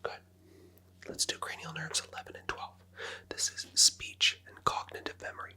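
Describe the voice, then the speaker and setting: A person whispering gentle, patient clinical instructions in a close, quiet ASMR medical roleplay as a doctor. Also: normal speed. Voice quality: whispered, mostly unvoiced. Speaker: ASMR creator playing a doctor.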